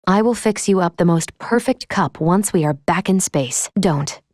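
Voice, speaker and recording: An actress playing a calm, analytical android companion in a video game, gently caring, with formal, precise diction. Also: female voice, fairly low pitch, normal speed, no echo, clean studio sound, natural voice. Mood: composed, observant. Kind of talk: video game character dialogue.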